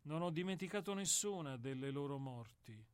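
A man's voice: a little high, animated, clean tone, lightly acted. A man playing a brooding, solemn executioner. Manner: grave, measured, deferential. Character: brooding male executioner, somber and philosophical. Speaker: actor in a radio play. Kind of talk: radio drama acting.

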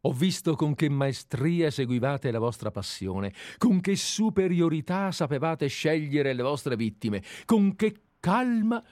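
A man's voice: a little high, big pitch swings, measured pace, hardly any pauses, a little mellow, no room sound. A man reading aloud as an obsessive, deferential admirer who has tracked a writer for years, in a dramatized radio play reading. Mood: obsequious. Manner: earnest, deferential, methodical. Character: obsessive, fawning male admirer-sleuth. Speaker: reader.

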